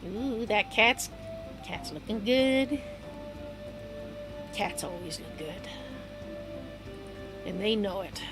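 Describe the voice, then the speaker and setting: A woman livestreaming a game, chatting in a loose, rambling way with a hoarse voice and easy laughs. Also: medium pitch, expressive, takes it slowly, long pauses, rough recording. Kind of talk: casual rambling chat while gaming. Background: upbeat game music.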